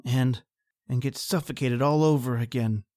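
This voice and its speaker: A man's voice: medium pitch, normal speed, a little mellow, lightly acted. Man acting a timid, anxious manservant. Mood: shaken, wary. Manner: anxious, deferential. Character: timid, fearful manservant named John, easily despairing. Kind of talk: old time radio horror acting.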